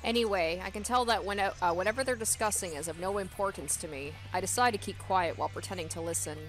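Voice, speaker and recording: Female let's play host, mid-pitched, narrating a visual novel with casual asides, faint music underneath. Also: fast talker. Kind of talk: reading visual novel aloud with casual commentary.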